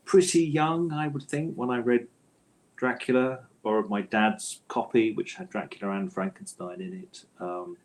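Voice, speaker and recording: A man's voice: medium pitch, normal speed. A middle-aged man answering as an interview guest in a thoughtful, hesitant conversational way, often rephrasing as he goes. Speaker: interview guest, author and audio drama scriptwriter.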